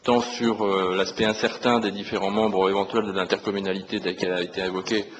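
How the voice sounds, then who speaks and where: Male councillor, low voice, halting impromptu debate remarks, conciliatory, reverberant room. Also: flat delivery. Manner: hesitant, repeats words, conciliatory. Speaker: opposition city councillor. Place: large council meeting room. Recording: reverberant hall with faint noise.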